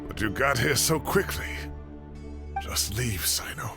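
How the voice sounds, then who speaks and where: A man's voice: medium pitch, animated, measured pace. An actor playing a weary, secretive old professor in a video game, sighing and reluctant as he speaks. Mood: weary, guarded. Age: older. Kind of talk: dramatic video game voice acting. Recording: music under the voice.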